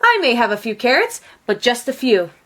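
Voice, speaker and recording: Woman reading as eager villagers, high and animated. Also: moderate pace. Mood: curious, eager. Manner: eager, exclaiming. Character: eager, curious villagers offering food. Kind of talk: storybook read-aloud character voices.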